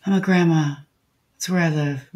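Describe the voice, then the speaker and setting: A woman's voice: deep voice, steady tone, normal speed, a little mellow. An older woman playing a brazen, cranky widowed grandmother in a comic radio play, acerbic, theatrical and contrary. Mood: acerbic, dry. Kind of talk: comic audio drama acting.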